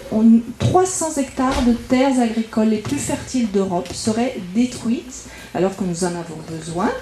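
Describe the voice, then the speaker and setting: A woman's voice: on the high side, warm tone, a little hiss or hum. A woman with a French accent from France delivers a prepared political speech at a public debate, methodically arguing point by point as a local campaigner. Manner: argumentative, methodical, enumerating points. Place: indoor meeting room at public debate. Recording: echoey room at public meeting.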